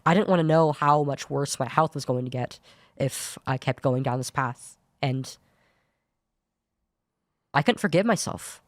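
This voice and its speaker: A young woman with a low voice that is hard to place as male or female, giving an earnest personal account as a podcast guest, often restarting her sentences. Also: measured pace, pauses often, no echo, clean studio sound. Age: young adult. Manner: earnest, searching, many restarts. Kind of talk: personal account in studio talk show.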